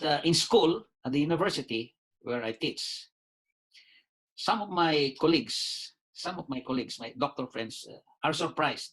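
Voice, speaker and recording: A man in his sixties from the Philippines with a Filipino accent, a doctor and lawyer giving a casual spoken testimonial, pausing often with "uh", repeating words and saying "you see". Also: on the high side, a bit bright, breathy edge, rough recording. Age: 60s.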